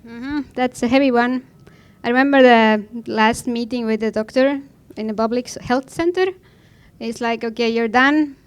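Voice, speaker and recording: Clear-voiced woman with an Estonian accent. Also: fairly high pitch, fairly even intonation, normal speed. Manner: blunt, informal, non-native phrasing. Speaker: panel guest, professional snowboarder. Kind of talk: conversational personal account on a panel.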